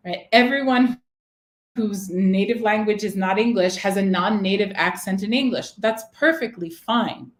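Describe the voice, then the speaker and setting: Woman presenting a teacher webinar. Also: mid-range voice. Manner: engaging, asks the audience questions, frequent "right?" check-ins. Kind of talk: live online teaching presentation. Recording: roomy, echoey webinar audio.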